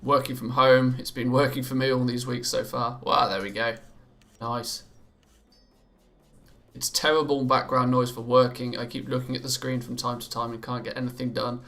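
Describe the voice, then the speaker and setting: Gravelly British man streaming with a bright tone. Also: medium pitch, level tone, normal speed. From Britain. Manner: chatty, reads out viewer comments and answers them; often stammers and restarts, laughs easily. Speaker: live streamer. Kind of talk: casual live-stream chat while gaming. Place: home.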